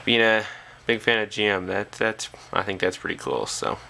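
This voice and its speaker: A man's voice: lowish voice, animated, moderate pace, gravelly, sharp tone, slight background noise. A man talking casually and off the cuff as a hobbyist presenter, hesitantly thinking aloud at an indoor workbench on a camera's built-in mic. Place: small indoor workshop at a bench.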